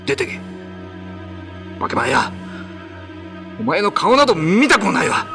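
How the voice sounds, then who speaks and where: Man acting a betrayed young husband, wildly animated. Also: high-pitched, a little slow, gravelly, soft background music, pitched above the performer's own voice, exaggerated voice. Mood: furious, horrified. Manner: accusing, explosive, scornful. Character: betrayed husband, young man, furious and disgusted by monster wife. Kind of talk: radio drama acting.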